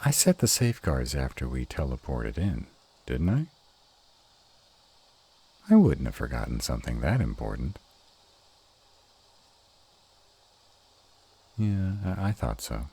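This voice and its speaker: Man voicing a very deep, husky male were-dragon. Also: big pitch swings, warm tone, heavily acted. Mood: uneasy. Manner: halting, dramatic, slow delivery with emphatic outbursts. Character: tsundere male were-dragon, gruff, protective, uptight cave dweller. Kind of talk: intimate roleplay audio drama.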